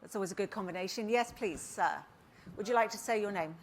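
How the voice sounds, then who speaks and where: Lady hosting the q&a on stage, chatty and a bit hesitant, mid-pitched voice. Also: fast talker, slight background noise. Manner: hesitant, conversational questions. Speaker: moderator. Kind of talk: stage interview and Q&A. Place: conference hall.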